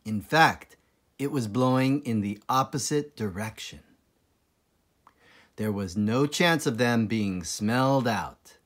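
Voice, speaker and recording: A man narrating a children's storybook aloud in a dramatic, deliberate manner with suspenseful pauses. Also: mid-range voice, fairly bright tone. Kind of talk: expressive children's storybook reading.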